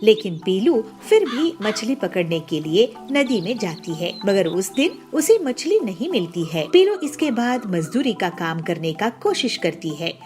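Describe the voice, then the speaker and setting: A woman's voice: medium pitch, a little slow, hardly any pauses, soft background music. Woman narrating a children's story. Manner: clear, steady storytelling.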